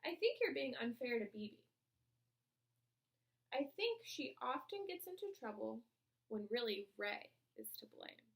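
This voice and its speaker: A woman's voice: a little high, big pitch swings, a little slow, gravelly, long pauses, heavily acted. A woman voicing a firm but kindly female schoolteacher, stern yet encouraging, in a children's storybook. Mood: reassuring, firm. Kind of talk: children's storybook read-aloud.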